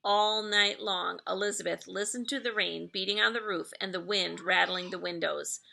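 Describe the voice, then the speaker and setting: Woman, middle-aged, school read-aloud narrator; bright tone with noticeable creak, expressive storytelling delivery. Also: mid-range voice, moderate pace. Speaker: elementary school staff member reading aloud to pupils.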